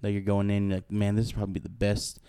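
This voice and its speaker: A man's voice: fairly low pitch, monotone, quick pace, warm tone, clean tone, no room sound, clean studio sound. A man hosting a podcast with a lighter voice, asking curious, joking questions with lots of fillers. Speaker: podcast host. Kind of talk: casual interview talk.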